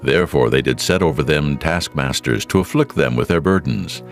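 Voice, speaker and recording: Very deep, gravelly male narrator. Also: faint music behind. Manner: solemn, deliberate. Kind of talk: dramatized scripture narration.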